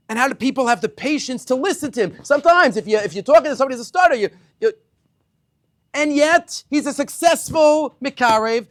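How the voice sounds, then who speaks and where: A middle-aged rabbi lectures students in a yeshiva classroom, his fairly high voice leaping up and down as he acts out dialogue. He mixes Hebrew terms into his English and keeps the talk moving with animated energy. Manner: animated, acts out dialogue with big pitch swings.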